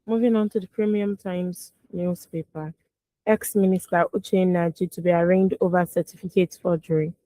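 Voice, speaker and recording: A Nigerian woman co-hosting a radio newspaper review, reading headlines briskly and adding short remarks. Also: lowish voice, fairly even intonation, dark tone, dry recording, poor audio. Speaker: radio news review co-host. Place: radio studio.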